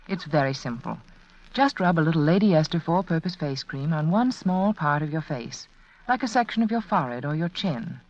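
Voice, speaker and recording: Lively-pitched woman reading a vintage radio advert. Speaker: sponsor's hostess. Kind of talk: scripted 1940s radio commercial. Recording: dull, lo-fi vintage radio broadcast.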